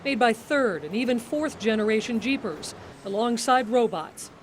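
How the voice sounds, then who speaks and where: A woman's voice: on the high side, expressive, moderate pace, a little hiss or hum. A middle-aged woman, a TV news reporter, delivering scripted news narration.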